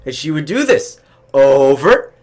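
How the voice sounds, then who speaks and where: A man with a very lively, swinging delivery chats casually. He tells anecdotes in an animated, informal way and swears without holding back. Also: mid-range voice, a little slow. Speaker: storyteller on a comedy chat show. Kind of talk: casual chat, telling work anecdotes.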